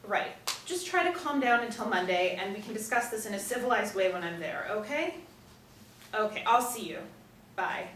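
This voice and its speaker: A woman's voice: medium pitch, animated, normal speed, fairly bright tone, lightly acted. A woman in her thirties reading the part of a weary, easily alarmed young actress from a script. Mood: weary, placating. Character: young actress Anna, weary then frightened and insistent. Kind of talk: script read aloud at a table reading. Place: small indoor room, seated group. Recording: roomy, distant mic with faint noise.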